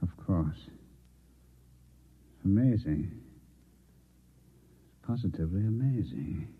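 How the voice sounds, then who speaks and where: An actor in a vintage radio drama playing a polite, measured, insinuating Martian who poses as a newspaper reporter. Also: male voice, low voice, expressive, narrow, tinny audio, very noisy, heavily acted. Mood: astonished. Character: coolly menacing Martian posing as a male newspaper reporter. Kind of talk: 1950s radio drama acting. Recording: thin, band-limited old radio recording.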